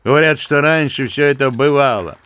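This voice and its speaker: An actor playing an old, kindly, sentimental general who speaks fervently and fondly, in a radio play. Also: male voice, mid-range voice, a little fast, fairly bright tone, clear, resonant tone, lightly acted. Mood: musing fervent. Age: older. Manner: fervent, musing, fond and paternal. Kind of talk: theatrical radio play acting.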